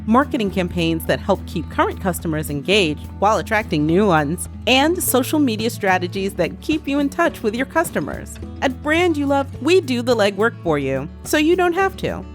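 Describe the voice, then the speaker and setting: Female commercial voice-over, medium pitch, animated intonation, faint music underneath. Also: normal speed, few pauses. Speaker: advertisement voice-over. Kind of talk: scripted promotional read.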